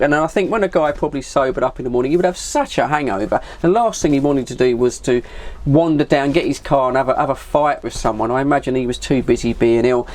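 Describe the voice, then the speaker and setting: A man's voice: on the high side, darkish tone. Middle-aged man, southern English accent. Casual vlogger, chatty, anecdotal, wanders as he talks. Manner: rambling, colloquial storytelling. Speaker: vlogger, CB radio hobbyist.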